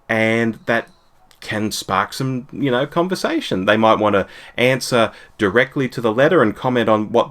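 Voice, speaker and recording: A man's voice: on the low side, a bit bright. A man in his forties talking casually as a podcast guest in an interview. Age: 40s.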